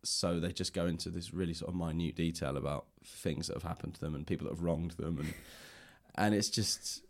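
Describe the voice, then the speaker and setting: A man with a very low-pitched voice talks casually as a podcast host. His delivery is conversational and informal, like an easygoing interview chat. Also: steady tone, fairly quick, vocal fry. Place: library room.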